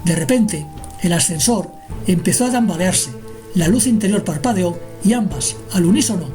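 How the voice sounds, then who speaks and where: A woman's voice: on the low side, expressive, moderate pace, warm tone. A woman narrating a scripted radio drama as the storyteller. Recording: music behind the narration.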